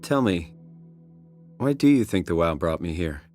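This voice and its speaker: A man's voice: sits low, expressive, measured pace, darkish tone. A man with a North American accent playing an anxious, doubting everyman in a video game, talking in a questioning, hesitant, emotional way. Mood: searching, uneasy. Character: anxious, doubting everyman lost in a hostile world. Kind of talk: video game voice acting, naturalistic dialogue. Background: music.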